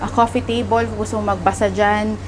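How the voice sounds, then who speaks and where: A woman's voice: medium pitch, level tone, fast. A young woman with a Filipino accent, chattily and enthusiastically presenting a house tour, switching between two languages. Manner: chatty, enthusiastic, mixes Tagalog and English. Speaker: property tour host. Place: empty rooms of a house. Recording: echoey empty rooms, slight background noise.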